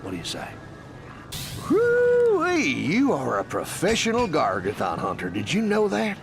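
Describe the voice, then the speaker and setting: A man's voice: high voice, wildly swooping pitch, unhurried, gravelly, heavily acted. An actor playing a chatty, friendly frontier trader in a video game, with a rural Southern American drawl and a salesman's folksy manner. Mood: friendly salesmanship. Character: folksy friendly male frontier trader who bargains for trophies. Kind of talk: animated game character acting. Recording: music behind the voice.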